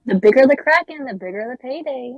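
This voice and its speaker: A woman's voice: high voice, lively intonation, fast, no echo, highs cut off, soft background music. A woman playing sassy, emphatic cartoon characters in an amateur table read. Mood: greedy.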